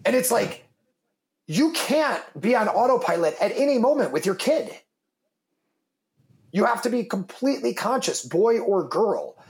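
A man in his thirties with a very deep voice, talking casually and emphatically in an interview, swearing freely and repeating words as he starts his thoughts. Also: sing-song, a little slow, gravelly, pauses often. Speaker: guest, business founder and father.

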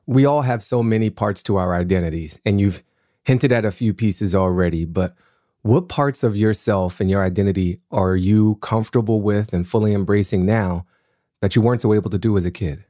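Mellow-voiced male podcast host. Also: lowish voice, moderate pace, dry recording, clean studio sound. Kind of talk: scripted intro and interview questions.

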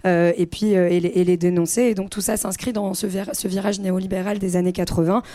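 A woman's voice: mid-range voice, flat delivery, warm tone. A woman adding examples and short remarks in a casual podcast discussion. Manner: adds examples, quick interjections. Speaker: podcast co-host.

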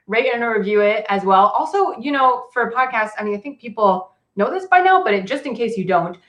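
A woman's voice: fairly high pitch, lively intonation, brisk, fairly bright tone. A woman whose first language is Cantonese, a career coach and author, talking casually in a podcast interview with many "like"s and "um"s. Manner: casual, frequent "like" and "um", laughs often. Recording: echoey room.

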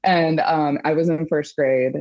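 Chatty woman guest, loose and filler-heavy, flat dry lo-fi audio. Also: low voice, unhurried, dry recording. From Japan. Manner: chatty, lots of fillers and restarts. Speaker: guest artist. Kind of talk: casual conversation.

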